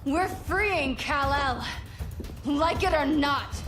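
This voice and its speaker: A fierce young Kryptonian heroine. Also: female voice, sits high, slow, echoey room, over music. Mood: threatening. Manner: defiant, forceful. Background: loud orchestral game score.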